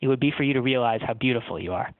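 A man's voice: mid-range voice, rapid-fire, dry recording, narrow, tinny audio, natural voice. A man voicing a kindly, tender bartender, earnest and reassuring, in a comic story. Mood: earnest, pleading.

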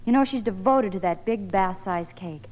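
A woman's voice: mid-range voice, normal speed, a little mellow. A young American woman, a guest actress reading scripted promotional chat on vintage radio. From Hollywood, California. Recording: muffled old radio broadcast, long hall reverb.

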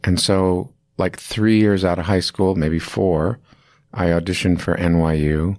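Man in his 50s, very deep voice, dry close sound, rambling storyteller, lots of restarts. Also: fairly even intonation, measured pace, darkish tone, no room sound. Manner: rambling, frequent restarts and fillers. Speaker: acting teacher, interview guest. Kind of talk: casual interview storytelling.

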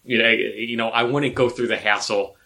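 A man's voice: mid-range voice, steady tone, moderate pace, gravelly, pushed and bright. A man chatting casually as a podcast co-host, talking things over in a reflective way. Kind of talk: casual chat.

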